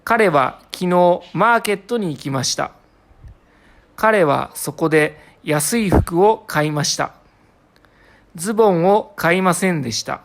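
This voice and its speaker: A woman from Japan reading short lesson passages aloud carefully, as a native speaker for learners. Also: fairly low pitch.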